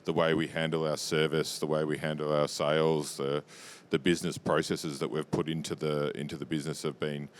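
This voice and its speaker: A man's voice: flat delivery, a little fast, hardly any pauses, clear, resonant tone. An Australian man answering as a company executive in a business interview, matter-of-fact and explanatory. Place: interview lounge at a trade show. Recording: low rumbling background noise.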